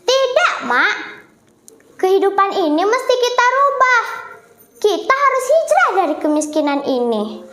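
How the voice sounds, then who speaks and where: A woman's voice: very high-pitched, big pitch swings, measured pace, long pauses, noisy background, pitched above the performer's own voice, exaggerated voice. A young female storyteller voicing an ambitious, pleading young son from a poor village, in a roomy, echoing recording. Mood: earnest, pleading.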